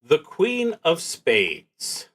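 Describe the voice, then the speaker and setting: A man narrating a solo tabletop game, reading cards aloud and thinking out loud in a casual, wry way. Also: a little high, huge pitch swings, drawn-out words. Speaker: solo roleplaying game streamer.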